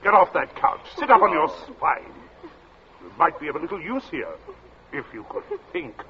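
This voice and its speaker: A man's voice: on the high side, sing-song, normal speed, crowd noise. An actor playing a snobbish, domineering middle-aged English father in a radio suspense play, commanding and scornful. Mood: scornful, bossy. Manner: commanding, scornful, clipped outbursts. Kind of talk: 1940s radio suspense acting. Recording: dull old radio recording, faint noise.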